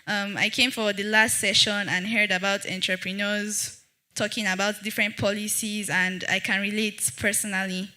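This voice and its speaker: A young woman from Nigeria, earnestly telling her founder story as a panelist at a public dialogue, with halting restarts. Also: mid-range voice, flat delivery, sharp tone. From Jos, Nigeria. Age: young adult. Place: event hall with audience.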